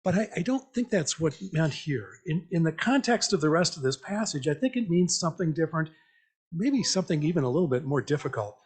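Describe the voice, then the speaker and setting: A man's voice: a little high, very animated, fairly quick, a little mellow, husky. A man preaching an interactive church message in a conversational, reflective way, putting questions to the congregation. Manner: conversational, reflective, repeats listeners' answers back. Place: church meeting, speaking to a congregation.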